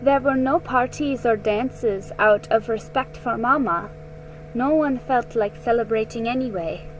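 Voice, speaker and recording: A woman's voice: a little high, measured pace, faint music behind, lightly acted, low-quality recording. A woman voicing a thoughtful, subdued sister in a storybook, speaking reflectively.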